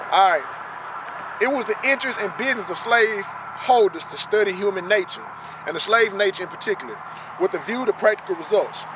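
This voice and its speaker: A man with a fairly high voice reads a text aloud and breaks it down. He speaks earnestly, repeating phrases to drive them home. He is recorded outdoors on a riverbank, with loud wind and traffic noise around him. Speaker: commentator reading a historical text. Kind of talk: reading aloud and commenting.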